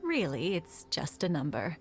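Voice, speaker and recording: An actress playing a warm elderly wife in a video game, gently teasing and motherly. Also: sits low, huge pitch swings, a little slow, exaggerated voice. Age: older. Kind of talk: game voice acting. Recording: game dialogue with music behind.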